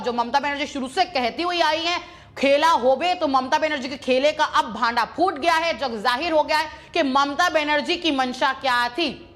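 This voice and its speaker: A woman's voice: high-pitched, fairly bright tone. A woman presenting opinionated news commentary in an emphatic, accusatory, repetitive way.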